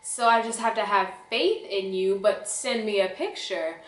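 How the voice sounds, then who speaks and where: A woman's voice: mid-range voice, unhurried, close to an everyday voice. A woman voicing a suspicious mother on the phone, pointed, knowing and scolding as she guilt-trips her child. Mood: guilt-tripping. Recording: echoey room.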